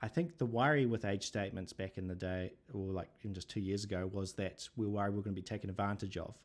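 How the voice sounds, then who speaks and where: A man with a New Zealand accent hosting a casual livestream chat, relaxed and thinking aloud with plenty of fillers. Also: on the low side, fast talker. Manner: relaxed, thinking aloud with many fillers, reading viewer comments. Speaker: livestream host.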